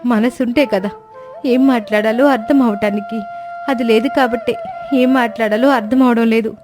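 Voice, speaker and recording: A woman plays a cartoon sparrow wife with a fairly high voice. She is outspoken and long-suffering, pouring out her grievances in plaintive, emotional speeches. Music plays underneath her. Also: steady tone, normal speed, close to an everyday voice. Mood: reproachful, hurt. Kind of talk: dramatized moral story cartoon dialogue. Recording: music bed behind voice.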